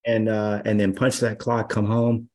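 A man in his fifties, a guest on a podcast, telling stories in a relaxed, rambling way with many fillers. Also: fairly low pitch, unhurried. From Los Angeles area, USA. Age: 50s. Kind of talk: casual storytelling interview.

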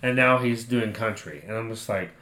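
A man's voice: on the low side, normal speed, bright, forceful tone. A man in his thirties co-hosting a podcast, giving blunt, opinionated takes in casual chat and swearing now and then. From United States. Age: 30s. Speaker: podcast co-host. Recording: roomy echo.

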